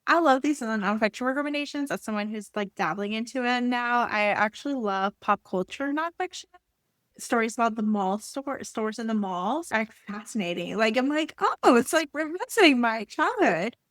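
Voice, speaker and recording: A woman's voice: fairly high pitch, expressive, normal speed, a bit bright, dry recording. Upbeat woman hosting a podcast, dry sound. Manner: upbeat, enthusiastic. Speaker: podcast host. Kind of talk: casual book podcast hosting.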